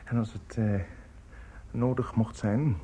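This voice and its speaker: A man's voice: fairly low pitch, steady tone, slow, warm tone, slight background noise. An actor playing a bitter middle-aged opera teacher in a radio drama, theatrical and maudlin, stammering and repeating his words. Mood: broken, despondent.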